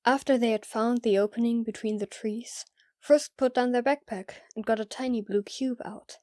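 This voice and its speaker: High-voiced woman narrating a story. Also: steady tone, normal speed, mellow, no room sound, clean studio sound.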